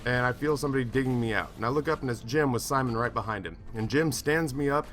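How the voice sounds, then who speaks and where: A young man with an American accent and a medium-pitched voice tells a comic anecdote. His delivery is casual and conversational, with swearing and acted-out dialogue woven in. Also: quick pace, a bit bright. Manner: casual, profane, acts out dialogue. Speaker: storyteller, video host. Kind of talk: animated comedic storytelling.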